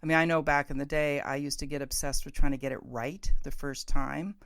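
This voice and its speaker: A woman's voice: sits low, fairly even intonation, a little fast, fairly bright tone, no room sound, studio quality. A middle-aged woman hosting a casual interview, informal, encouraging and enthusiastic in how she talks. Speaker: podcast host and interviewer.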